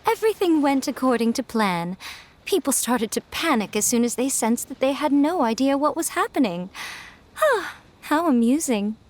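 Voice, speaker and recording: An actress playing a poised, perceptive young schemer in a video game, with a composed, teasing, knowing manner. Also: female voice, high voice, sing-song, normal speed. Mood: smugly amused.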